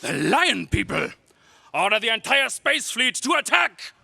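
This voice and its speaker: A man playing a cruel, imperious alien emperor in a 1930s radio serial style, with a menacing, pompous, drawn-out delivery. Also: very high voice, very animated, gravelly, bright, forceful tone, breathy edge, no echo, clean studio sound, full character voice. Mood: alarmed, commanding.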